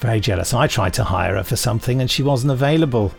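A man's voice: medium pitch, expressive, fast talker, creaky. A man hosting a podcast in casual, conversational chat, with some music behind him. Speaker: podcast host.

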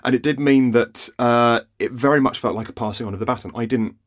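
A man talking casually on a podcast about writing an audio drama, enthusiastic and halting. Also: mid-range voice.

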